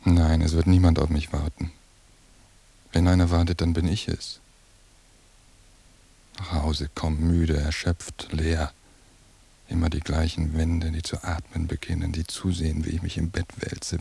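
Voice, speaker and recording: An actor playing a weary, suspicious police surveillance officer, thinking aloud in a brooding, hushed radio drama monologue with a gravelly, husky voice. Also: male voice, a bass voice, completely flat delivery, moderate pace, full character voice. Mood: exhausted, bitter.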